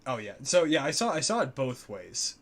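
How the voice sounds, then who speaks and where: A man's voice: mid-range voice, sing-song, normal speed, gravelly, a bit bright. A young man co-hosting a casual live-stream chat about old music, loose and joking, with many fillers. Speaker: co-host.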